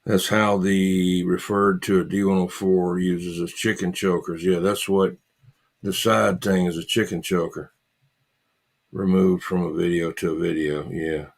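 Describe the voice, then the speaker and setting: A man hosting a casual livestream, chatting with viewers in a halting, repetitive way and muttering asides to himself. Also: low voice, level tone, gravelly. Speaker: livestream host.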